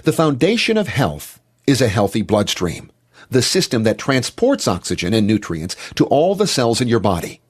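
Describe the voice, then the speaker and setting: A man's voice: medium pitch, very animated, normal speed, slightly rough. A man giving a motivational health talk in an emphatic, declarative manner.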